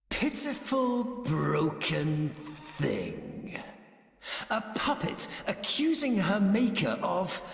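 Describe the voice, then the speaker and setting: An actor playing a cruel, possessive and grandiose villain in a video game, speaking theatrically and with menace, like a wounded lover. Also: male voice, fairly high pitch, gravelly, full character voice. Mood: scornful, cold. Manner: theatrical, menacing, swinging from hushed to bellowing. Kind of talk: dramatic video game voice acting. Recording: echoing hall-like reverb.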